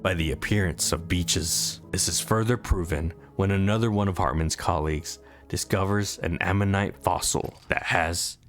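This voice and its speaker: A man's voice: low-pitched, normal speed. A man reading a scripted story recap as a narrator.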